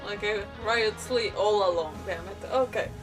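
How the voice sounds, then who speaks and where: A woman's voice: mid-range voice, normal speed, recorded from a distance, poor audio. A woman game streamer giving casual live commentary, exclamatory and often stumbling as she reads aloud. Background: game music.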